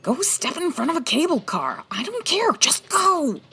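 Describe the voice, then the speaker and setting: A woman playing a sassy, sharp female private detective in a comedic 1940s-style radio drama, with wry, teasing delivery. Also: extremely high pitch, very animated, normal speed, soft background music, full character voice. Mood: exasperated. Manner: wry, teasing, dry quips.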